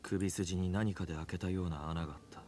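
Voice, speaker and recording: Low, rapid, detached young man. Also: fairly even intonation, warm tone, no echo. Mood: cool, blunt. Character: cool, detached young man, bluntly probing. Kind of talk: Japanese visual novel acting. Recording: game audio with music behind.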